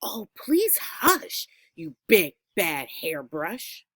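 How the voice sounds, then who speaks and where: A sneaky, defiant little storybook girl, acted out by a woman reading aloud. The lines are dramatic and drawn out, with big pitch swings. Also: wildly swooping pitch, drawn-out words, pushed and bright, no room sound, heavily acted.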